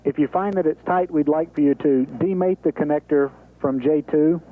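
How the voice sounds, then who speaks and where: A man's voice: a little high, moderate pace, phone-quality sound. An American man at mission control relaying procedures over radio in a businesslike, step-by-step way. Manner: clear step-by-step instructions, businesslike. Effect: radio transmission. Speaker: capsule communicator at mission control. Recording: thin, band-limited space radio link.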